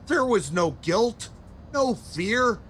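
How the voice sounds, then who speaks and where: High-pitched male voice, brutal folksy wrestling legend, menacing, game cutscene, faint noise. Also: expressive, slow, fairly bright tone, exaggerated voice. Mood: grim, proud. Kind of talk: video game cutscene acting.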